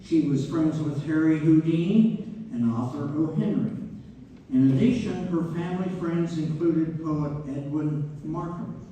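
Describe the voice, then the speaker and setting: A woman's voice: sits low, a little slow, mellow, lo-fi sound. A woman narrating a stage play in scripted, presentational style. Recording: distant mic in echoing hall, faint noise.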